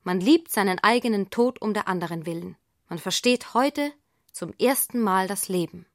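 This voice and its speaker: An actress playing an idealistic, headstrong young daughter who wants to be a nurse, speaking earnestly and insistently in a radio drama. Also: female voice, mid-range voice, animated, moderate pace, no echo, studio quality, lightly acted. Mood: fervent, insistent. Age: young adult. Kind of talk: German radio drama acting.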